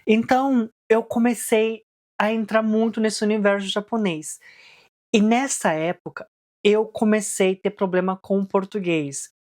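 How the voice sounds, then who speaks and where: A woman's voice: medium pitch, fairly even intonation, a little slow, a little mellow. A woman in her twenties who grew up in Japan, casually and reflectively telling her life story in a vlog, with frequent fillers. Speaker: vlogger.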